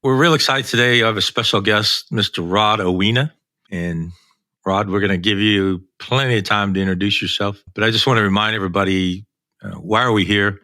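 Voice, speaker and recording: This is a man hosting a podcast with a low, forceful voice. His tone is bright and cuts through clearly. The recording is close and dry, with very little room sound. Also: steady tone, brisk, no echo. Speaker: podcast host.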